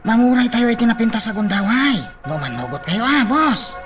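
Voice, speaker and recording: A man's voice: very high-pitched, very animated, brisk, fairly bright tone, distant mic, faint music behind, exaggerated voice. An actor playing a cautious, deferential gangster henchman in an Ilocano radio drama. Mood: urgent, deferential. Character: gangster henchman, cautious and deferential, urging his boss to wait.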